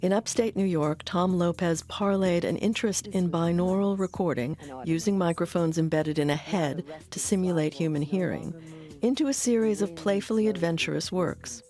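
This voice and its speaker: Woman narrating a documentary over soft music. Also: lowish voice. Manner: measured, informative. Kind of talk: scripted narration. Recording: faint music bed under narration.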